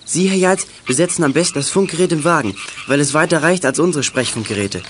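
A man's voice: a little high, quick pace, a little hiss or hum, close to an everyday voice. A young man playing a bold, confident teenage boy detective who takes charge in a children's radio play. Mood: decisive.

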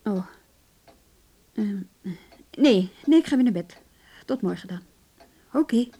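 A woman's voice: mid-range voice, animated, takes it slowly, mellow, slightly rough, exaggerated voice. An actress playing a wry, weary, no-nonsense professional woman in a naturalistic radio drama, speaking in a conversational, hesitant way. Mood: irritated, sleepy.

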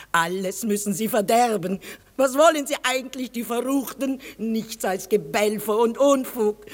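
An actress playing an angry old Russian grandmother, indignant and scolding, in 1950s German radio drama style. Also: fairly high pitch. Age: older. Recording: 1950s radio recording, long reverberation.

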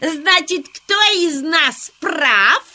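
Know-it-all female frog, children's audio story. High voice, unhurried, didactic and teasing. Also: animated, bright, forceful tone, heavily acted. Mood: smug, challenging. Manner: didactic, challenging. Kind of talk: children's audio play acting.

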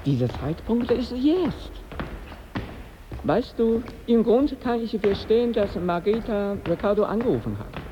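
A man's voice: sits high, big pitch swings, a little slow, muffled recording, a little hiss or hum. An actor playing an earnest, reproachful male friend in a radio drama, reasoning insistently.

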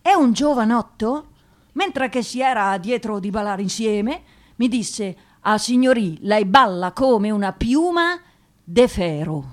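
A woman's voice: fairly high pitch, lively intonation, unhurried. An actress playing a shy, pious eighteen-year-old provincial girl with a hesitant, stammering, naive way of talking in a radio drama. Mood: amused, animated. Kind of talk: radio drama interview monologue.

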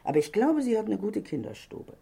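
A woman's voice: medium pitch, very animated, a little fast, gravelly, mellow, husky, heavily acted. An actress playing a gossipy, self-righteous middle-aged landlady in a German crime radio play, chatty, indignant and insinuating. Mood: confiding.

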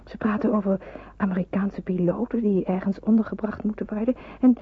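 A woman playing a reflective, polite young person in wartime hiding in a radio drama, husky and hushed. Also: medium pitch, fairly even intonation, fairly quick, dry recording. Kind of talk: radio drama acting with first-person narration. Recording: muffled, phone-like old radio recording.

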